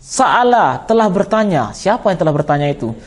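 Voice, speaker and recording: Male religious-school teacher, Minangkabau Indonesian accent, high voice, didactic question-and-answer delivery. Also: sing-song, a little fast. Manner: didactic, repeats and quizzes listeners. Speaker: Islamic teacher of Arabic grammar. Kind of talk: classroom lesson, explaining with questions to students.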